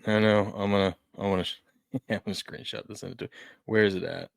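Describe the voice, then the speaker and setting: A man in his thirties hosting a live sports betting stream, with a fairly low voice and a very dry, close sound. He drifts between quiet muttering and sudden loud outbursts. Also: quick pace, vocal fry, no echo. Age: 30s. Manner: animated, jumps between mumbling and loud hype. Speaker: sports betting show host. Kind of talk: casual live-stream chat.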